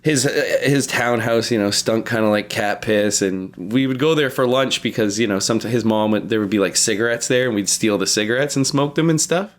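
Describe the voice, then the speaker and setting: A man's voice: mid-range voice, fairly quick, few pauses. Man, early 30s, mid-range voice, for loose podcast banter with plenty of swearing and joking anecdotes. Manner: crude, heavy swearing, slangy. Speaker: podcast co-host. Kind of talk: casual comedic chat, telling anecdotes.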